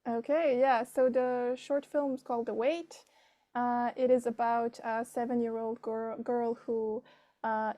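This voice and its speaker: A woman's voice: a little high, darkish tone. A woman, answering interview questions conversationally and thinking aloud as she goes. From Serbia. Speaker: filmmaker being interviewed.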